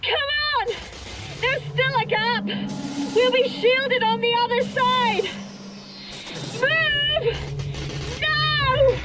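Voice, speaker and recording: A woman's voice: very high-pitched, steady tone, extremely slow, pauses often, loud music behind the voice, full character voice, poor audio. An actress playing a frantic, commanding woman fleeing disaster in an audio drama, urgent and exclamatory.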